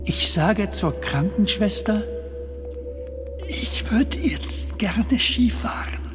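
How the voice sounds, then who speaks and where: An actor playing a bewildered, curious film comedian in a German radio play, speaking in a halting, puzzled, questioning way. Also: male voice, high voice, animated. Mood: wistful, hushed. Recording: long cavernous reverb, loud music underneath.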